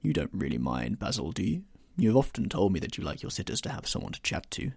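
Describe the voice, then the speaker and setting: A man voicing a witty aristocratic gentleman with an amused drawl. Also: deep voice, huge pitch swings, fast, gravelly, breathy edge, no room sound, clean studio sound, heavily acted. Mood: languid.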